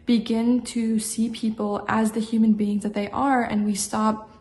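A woman voter speaking earnestly and deliberately in an interview. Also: a little high, level tone, a little mellow.

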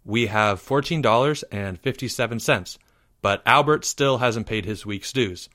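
A man's voice: medium pitch, unhurried, bright, forceful tone. A man voicing an orderly schoolboy club president in a formal, firm, businesslike manner.

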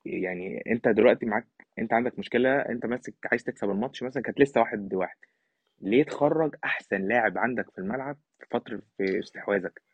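A man's voice: fairly low pitch, very fast, breathy edge. An Egyptian man giving casual, emphatic football analysis in Egyptian Arabic, as an opinionated podcast guest. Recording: thin phone-like line, slightly roomy.

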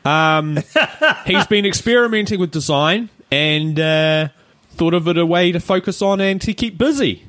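A man's voice: high voice, measured pace, fairly bright tone, no room sound, clean studio sound. A man co-hosting a podcast, chatting casually, joking, teasing and laughing often. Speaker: podcast co-host. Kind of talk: casual chat.

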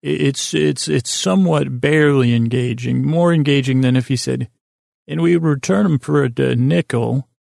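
An American man with a gravelly, creaky voice at a middling pitch, recorded close and dry. He hosts a bedtime podcast as a meandering monologue, halting, doubling back on his words and drifting off on tangents. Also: a little mellow, no echo. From United States. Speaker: podcast host.

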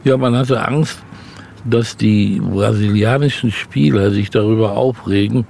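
Older male author, gravelly low voice, meandering storyteller in a relaxed interview, slight background hiss. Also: measured pace. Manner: rambling, chatty storytelling. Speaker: interview guest, novelist. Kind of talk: casual interview chat.

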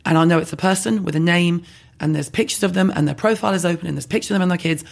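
Husky, gravelly woman, fast talker. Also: on the low side. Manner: blunt, animated, self-deprecating. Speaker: skincare blogger guest. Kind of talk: candid podcast conversation.

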